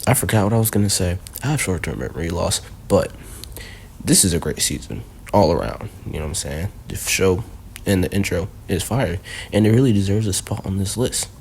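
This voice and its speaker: A man's voice: low voice, expressive, brisk, gravelly, darkish tone, a little hiss or hum. A man hosting a casual countdown video, rambling and leaning heavily on fillers. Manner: rambling, heavy on fillers like "you know". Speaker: video host.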